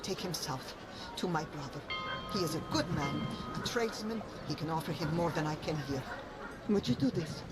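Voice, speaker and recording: A weary, guarded washerwoman speaks as a protective mother in an audio adventure game. Loud music and outdoor town ambience run behind her. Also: sits low, expressive, moderate pace. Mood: guarded, pleading.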